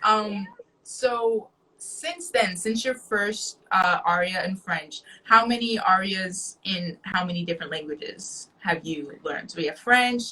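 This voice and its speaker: A woman's voice: medium pitch, slow pace, pushed and bright, a little hiss or hum, poor audio. A young woman hosting a casual interview, chatting in an informal, slangy and effusive way. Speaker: show host interviewer.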